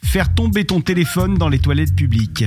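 A male host runs a light would-you-rather game with a teasing, upbeat manner. His voice sits a little high for a man. Music plays clearly beneath him. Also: lively intonation, brisk. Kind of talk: playful game-show banter.

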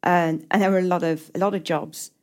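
A woman's voice: on the low side, fairly even intonation, fairly quick, a little mellow. A middle-aged woman with an English accent giving reflective interview answers as a writer, hesitant and often repeating and restarting her words. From England.

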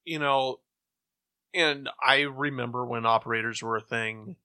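A middle-aged man talks casually as a co-host. His voice is bright and crisp-edged, at an ordinary male pitch. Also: a little slow. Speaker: podcast co-host. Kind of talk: casual chat.

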